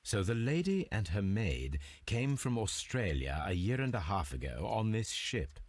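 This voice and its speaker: A man playing a composed, analytical detective in a video game, speaking in a measured, precise and formal way. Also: fairly low pitch, very animated, slight background noise. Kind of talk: video game voice acting, deliberate deduction.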